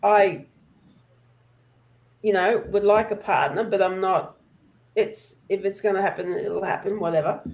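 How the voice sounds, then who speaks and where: A woman's voice: medium pitch, unhurried, pauses often, a little mellow. A woman in her sixties chatting casually as a panel guest, hesitant and meandering as she gathers her thoughts. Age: 60s. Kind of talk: casual chat.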